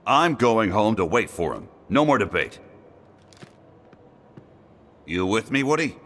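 A man's voice: fairly low pitch, big pitch swings, measured pace, a bit bright, a little hiss or hum. A man playing a heroic toy space ranger in a video game, stern and commanding with firm, no-nonsense statements. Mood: firm, defiant. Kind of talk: animated video game dialogue.

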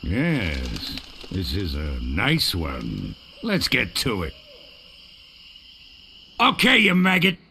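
A man voicing a gruff, crusty sword trainer in a cartoonish adventure game, barking, bragging and contemptuous. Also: low voice, wildly swooping pitch, extremely slow, pauses often, noisy background, exaggerated voice. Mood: shrewd, mellowing. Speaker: sword fighting coach.

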